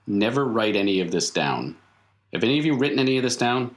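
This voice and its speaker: A man speaking as game master in an improvised tabletop roleplaying session, with measured, halting phrasing. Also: medium pitch, lively intonation. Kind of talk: improvised tabletop roleplay narration and in-character dialogue.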